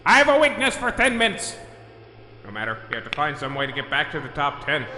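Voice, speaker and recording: A theatrical comic supervillain, pompous, gloating and quick to sulk. His voice is piercingly bright and a bit high, declaiming grand schemes in melodramatic style. It rings through a reverberant space with faint noise, as in an audio play. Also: wildly swooping pitch, soft background music, heavily acted. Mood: gleeful. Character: theatrical, grandiose, petulant male cartoon supervillain scheming monsters. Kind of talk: comic audio drama acting. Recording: echoey reverberant room with background noise.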